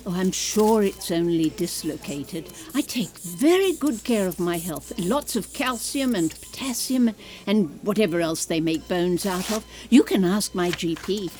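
An actress playing a sharp-tongued, meddling older woman in a comedic audio drama, wry and blunt. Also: lowish voice, animated, unhurried. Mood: brisk, defensive.

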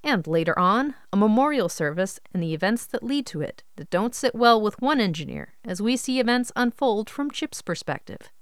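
A woman's voice: mid-range voice, expressive, normal speed, hardly any pauses, clean tone, no echo, clean studio sound. A woman hosting an audio show, reading scripted introductions and announcements in an upbeat way straight to the listeners. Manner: upbeat, addresses listeners directly. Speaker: radio show host.

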